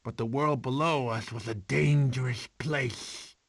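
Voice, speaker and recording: A man's voice: mid-range voice, a little slow, no room sound. A man voicing a goofy, boastful, doting cartoon sloth uncle who tells bedtime stories theatrically and stumbles over his words. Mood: dramatic storytelling. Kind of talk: animated film voice acting, bedtime storytelling.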